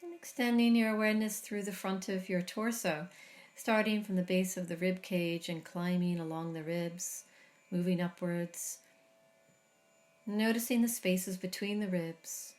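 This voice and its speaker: Middle-aged woman, low-ish voice, calm slow meditation guide. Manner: slow, soft, soothing guidance with long pauses. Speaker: meditation teacher. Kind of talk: guided body scan meditation.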